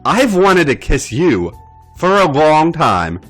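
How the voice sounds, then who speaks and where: A man's voice: big pitch swings, slow, fairly bright tone, soft background music, heavily acted. A man doing a coaxing, seductive woman. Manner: raised, coaxing falsetto.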